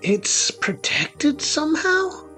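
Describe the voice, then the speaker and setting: A man voicing an anxious, boastful, lovestruck wizard in an audio story, with a flustered, halting delivery. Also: extremely high pitch, very animated, sharp tone, soft background music, pitched above the performer's own voice, heavily acted. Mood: puzzled, worried. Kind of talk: audio drama character acting.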